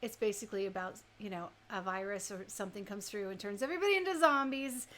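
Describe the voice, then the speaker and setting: A woman's voice: medium pitch, quick pace, fairly bright tone. A woman hosting a live radio stream, chatting casually and conversationally, speaking directly to her listeners. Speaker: radio DJ and host.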